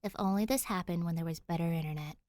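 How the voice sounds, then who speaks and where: A woman's voice: on the low side, quick pace, darkish tone, no echo, natural voice. A woman voicing a sardonic, weary runaway heroine who grumbles with dry exasperation in a narrated story. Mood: exasperated sarcasm.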